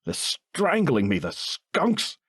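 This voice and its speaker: A man's voice: deep voice, a little slow, darkish tone, breathy edge, no room sound, studio quality. A man voicing a cocky, cold-hearted husband with a mumbling tough-guy swagger. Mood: terrified.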